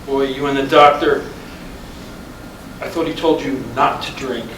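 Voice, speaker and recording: A man's voice: medium pitch, steady tone, unhurried, fairly bright tone, natural voice. A man reading the part of an easygoing, pragmatic husband in casual staged-play dialogue. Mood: casual, matter-of-fact. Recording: distant mic in echoey, noisy room.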